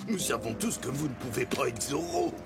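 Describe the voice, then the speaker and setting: A man's voice: mid-range voice, big pitch swings, moderate pace, vocal fry, music in the background. A man voicing a good-natured, gullible, chatty sergeant in a cartoon, exclamatory and comic in delivery. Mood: confiding. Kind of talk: French cartoon voice acting.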